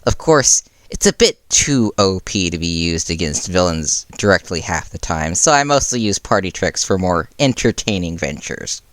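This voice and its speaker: A man's voice: low-pitched, big pitch swings, normal speed, few pauses, a bit bright, no room sound. A man voicing a breezy, mischievous underground superhero in an audiobook, with a casual, cheeky, flippant way of talking. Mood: flippant, boastful.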